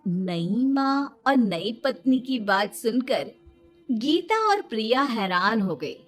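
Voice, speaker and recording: A woman's voice: fairly high pitch, lively intonation, slow pace, faint music behind. A woman narrating a scripted children's story as its storyteller.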